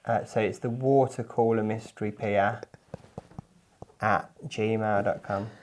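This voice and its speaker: A man making a prank call, speaking in a deadpan, rambling and hesitant mock-earnest way. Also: lowish voice, slow pace. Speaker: prank caller. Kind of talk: mock-earnest phone conversation.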